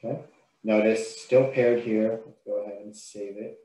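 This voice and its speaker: A man with a fairly deep voice gives a software tutorial. He explains each step in an informal, instructional way. The sound is roomy, with a clear echo around his voice. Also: fairly even intonation, moderate pace. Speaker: software trainer.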